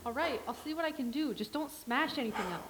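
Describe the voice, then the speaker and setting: A woman playing a dry, unimpressed cafe server who speaks deadpan in a staged play reading. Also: sits high, fast talker, poor audio.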